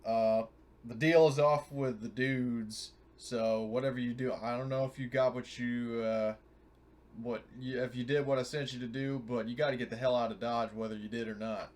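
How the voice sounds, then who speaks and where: A man playing in a tabletop roleplaying game, talking informally and haltingly. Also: mid-range voice, fairly even intonation, darkish tone. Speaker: player. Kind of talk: casual tabletop roleplay chat.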